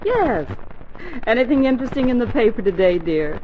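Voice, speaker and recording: An actress playing a sharp, probing middle-aged wife and mother in a 1940s radio comedy. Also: a little high, very animated, normal speed. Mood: indulgent, curious. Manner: arch, probing, scolding. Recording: muffled, noisy 1940s radio broadcast.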